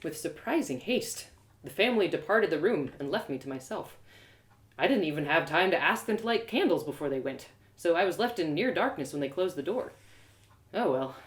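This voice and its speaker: A woman reading a first-person fantasy story live, in an expressive, confiding, dramatized narration. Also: lowish voice. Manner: expressive, confiding, acted-out delivery. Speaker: storyteller and audio drama performer.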